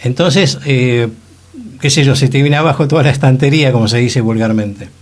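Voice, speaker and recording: A man's voice: medium pitch, moderate pace, a little mellow. A man with an Argentine accent giving colloquial, conversational commentary on a radio panel.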